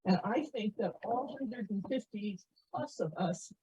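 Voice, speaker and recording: A woman's voice: medium pitch, expressive, measured pace, dark tone, husky. A woman offering appreciative remarks at a community meeting. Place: community meeting, captured on a video call. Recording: dull, lo-fi meeting audio over video call.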